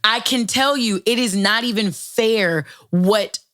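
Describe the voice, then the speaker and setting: A woman's voice: mid-range voice, slow pace, bright, forceful tone. A woman hosting a show, talking in a hype, playful way and swearing freely in casual chat. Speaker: host.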